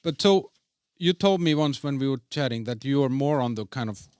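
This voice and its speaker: A man's voice: medium pitch, expressive, a little fast, darkish tone, smooth, dry recording. Icelandic-born male podcast host. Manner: fluent, leading questions, opinionated. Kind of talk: casual interview chat.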